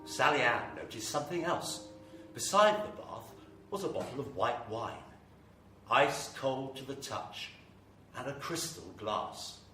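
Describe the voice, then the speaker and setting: An actor playing a suave horror storyteller, narrating in a measured, theatrical way that savours words. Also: male voice, mid-range voice, sing-song, over music. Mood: ominous relish. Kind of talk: dramatic scripted horror narration. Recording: echoey, distant live stage, faint noise.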